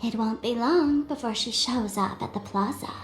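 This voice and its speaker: A woman's voice: a little high, moderate pace, roomy sound, faint music behind, close to an everyday voice. An actress playing a sly, elegant, enigmatic woman in a video game, with a teasing, theatrical manner. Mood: teasing, knowing.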